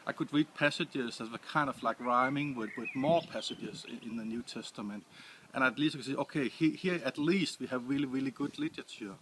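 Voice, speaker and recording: A man from Denmark with a Danish accent, answering interview questions in English with many pauses and restarts. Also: mid-range voice, background noise. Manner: hesitant, many restarts and fillers. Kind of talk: casual interview.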